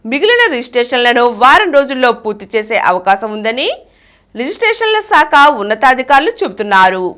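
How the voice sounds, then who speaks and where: A woman reads the daily news in a high voice that rises and falls a great deal. Her delivery is formal and steady, item after item. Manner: steady formal newsreading cadence. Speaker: news reader. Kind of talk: read news bulletin.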